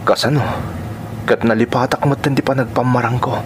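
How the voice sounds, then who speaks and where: A man acts a theatrical Filipino friend in a radio drama, putting on a sassy, effeminate front over secret longing. His mid-pitched voice comes with clear background noise. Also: fairly even intonation, moderate pace, lightly acted. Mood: troubled, confessional. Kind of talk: Ilocano radio drama acting.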